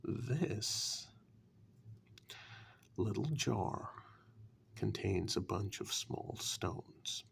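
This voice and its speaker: A man playing a sly, condescending warlock who bargains coolly in a dark fairytale ASMR role-play, speaking softly and breathily with slow, dismissive deliberation. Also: fairly low pitch, a little hiss or hum, heavily acted. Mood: sly bargaining. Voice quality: breathy half-whisper, gravelly.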